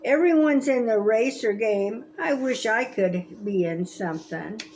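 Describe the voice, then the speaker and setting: A woman in her seventies reading a children's story aloud in a gentle, expressive, grandmotherly way. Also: fairly high pitch, unhurried, slight background noise. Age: 70s. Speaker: storybook reader.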